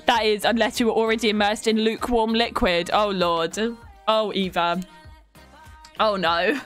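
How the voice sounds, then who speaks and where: A young woman streaming a life-sim game from home, chatting warmly with her viewers while stuffed up with a cold. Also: mid-range voice, little pitch movement, normal speed, faint music behind. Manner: chatty, affectionate toward chat, giggly. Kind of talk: live game stream chat.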